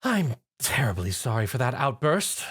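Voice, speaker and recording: A man's voice: medium pitch, big pitch swings, moderate pace, fairly bright tone, breathy edge, exaggerated voice. A man voicing a prim, refined fashion-designer mare with a posh, theatrical manner. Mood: fussy.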